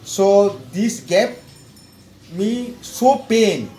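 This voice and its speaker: Slow, halting man with a high voice. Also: expressive, mellow, soft background music. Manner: hesitant, with repeated words and long pauses. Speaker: client giving a testimonial. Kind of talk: personal story, slow and halting. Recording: echoey room with faint background noise.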